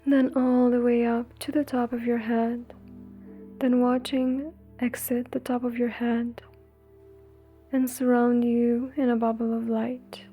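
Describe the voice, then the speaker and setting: A woman leading a guided meditation in a soothing, breathy, gentle way, leaving long pauses between phrases. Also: a little high, level tone, dull audio. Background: faint music.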